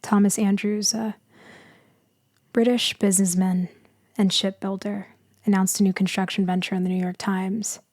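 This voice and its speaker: A woman playing a gentle, melancholy immortal female vampire in a sleep ASMR roleplay, speaking softly and intimately, often slipping into a whisper. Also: medium pitch, flat delivery, no echo, studio quality, close to an everyday voice. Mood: wry storytelling. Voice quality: soft, breathy, often whispering. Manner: slow, hushed, wistful, intimate.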